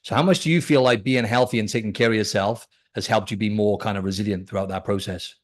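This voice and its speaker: A man's voice: lowish voice, quick pace. A podcast host from Wales, a man with a Welsh accent that has picked up some Australian twang, chatting in an upbeat, chummy way and calling his guest bro and mate. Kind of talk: casual chat.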